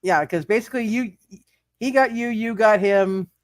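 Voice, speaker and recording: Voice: medium pitch, normal speed, dry recording. A game master running a live tabletop roleplay, thinking aloud and often repeating words and phrases while narrating and making rulings.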